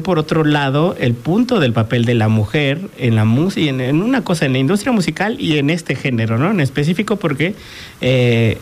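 A man's voice: mid-range voice, a little mellow. A man hosting a music interview, asking long, winding questions full of fillers. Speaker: radio host.

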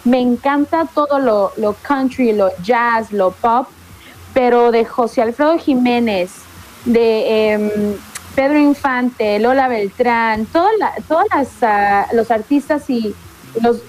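A middle-aged woman from Mexico, a singer-songwriter, chatting casually in an interview and often pausing to search for words. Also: on the high side, darkish tone, poor audio.

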